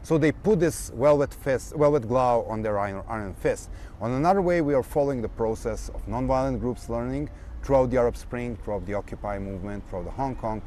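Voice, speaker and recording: A man, an activist trainer, speaking in an animated, lecturing way. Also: mid-range voice, fairly quick, a little hiss or hum. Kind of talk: speech and interview.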